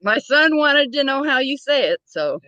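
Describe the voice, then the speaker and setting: A playful woman in casual chat who passes along her son's questions and laughs easily. Her voice is high and bright, and the sound is close and dry. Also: sing-song, normal speed, no room sound, highs cut off.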